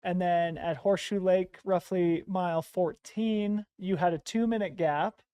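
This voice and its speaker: Host for live race coverage, easy affirming manner. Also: male voice, high-pitched, fairly even intonation, measured pace, mellow, clean tone, dry recording, studio quality. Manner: affirming, frequent "yeah". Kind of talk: live broadcast interview. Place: race broadcast studio.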